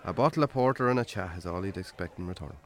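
An actor with an Irish accent playing a weary, lonely farmer in a naturalistic radio drama, plain-spoken and wry. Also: male voice, low-pitched, expressive, quick pace. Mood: sombre, matter-of-fact.

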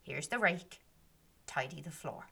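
A woman's voice: deep voice, expressive, unhurried, creaky, sharp tone, breathy edge, voice pushed down, heavily acted. A woman voicing a band of small fairies who scold and give orders. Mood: mocking. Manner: scolding and commanding.

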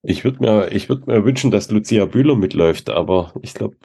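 Slightly low-voiced male podcast host. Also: lively intonation, a little fast, creaky, warm tone, slightly rough. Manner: informal, slangy, often says cool. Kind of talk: casual chat.

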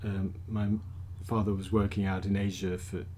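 Brief: man, 40s, deep voice, halting and thoughtful potter interviewee, faint background noise. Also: little pitch movement, warm tone. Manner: halting, thoughtful, many restarts. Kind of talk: casual interview answers. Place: outdoors by a kiln.

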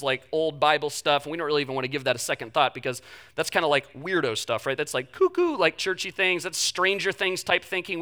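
Pastor, male, high bright voice, loose chatty preaching with jokes to the congregation. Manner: joking, chatty, self-deprecating asides. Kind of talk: casual conversational sermon. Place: church in front of a live congregation.

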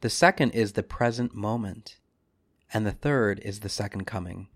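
Low-voiced man leading a guided meditation. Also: no echo, clean studio sound. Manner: measured, solemn phrasing with deliberate pauses. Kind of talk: scripted devotional reading and guided meditation.

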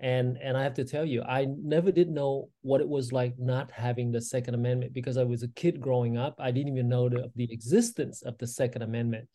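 An earnest man in his sixties, originally from Vietnam, talks as a podcast guest. He speaks with a mellow, dark tone, and his sentences are full of fillers and restarts as he works his way through his points. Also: medium pitch, level tone, fairly quick, few pauses. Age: 60s. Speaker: guest, state legislator. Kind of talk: casual interview talk.

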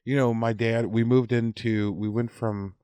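A man in his forties from the United States, chatting casually on a podcast and telling anecdotes in a halting, word-repeating way. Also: mid-range voice, steady tone, dark tone, clear, resonant tone, no echo, clean studio sound. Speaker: podcast co-host.

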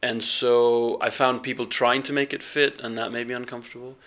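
A young man speaking in a relaxed interview, reflective and hesitant, with many restarts and ums as he thinks aloud. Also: mid-range voice, fairly quick. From England, raised partly in Bahrain. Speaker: filmmaker and fellowship alumnus.

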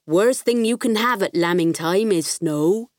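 Woman voicing a slow, gruff country farmer. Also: medium pitch, no room sound, studio quality, natural voice. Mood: gruffly kind. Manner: slow, rustic, measured. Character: grumpy old countryman sheep farmer, kindly advising.

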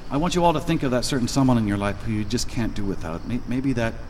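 An actor playing a brash, lovestruck head of a celebration foundation in a satirical audio drama, cocky and outspoken. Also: male voice, mid-range voice, animated, fast, darkish tone, a little hiss or hum, close to an everyday voice. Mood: folksy, tender. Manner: cocky, profane, impassioned in private, folksy showman on stage.

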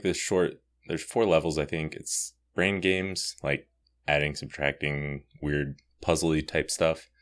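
A man hosting a podcast, talking casually through a comic book review. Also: a bass voice. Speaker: podcast host.

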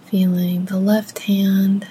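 A soft-spoken woman leading a guided meditation in gentle, measured phrases with long pauses. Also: medium pitch, flat delivery, slight background noise.

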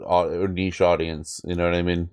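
A man's voice: sits low, little pitch movement, normal speed, noisy background. A man co-hosting a podcast, chatting casually and conversationally about a cartoon. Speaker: podcast co-host.

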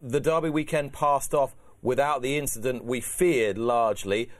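A man hosting a weekly talk show, with a polished, brisk presenter's delivery at a mid pitch. His voice is recorded close and dry in a studio. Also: dry recording. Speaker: podcast host. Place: radio studio.

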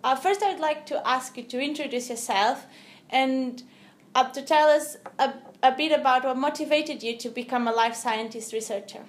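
A woman hosting a podcast, asking interview questions in a polite, measured way. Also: sits high. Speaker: podcast host.

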